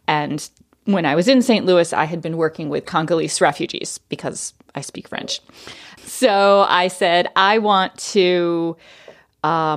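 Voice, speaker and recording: A woman in her thirties from New England tells her life story as a podcast guest, with a slightly low voice. She talks in chatty, quick bursts and laughs easily. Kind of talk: casual interview storytelling.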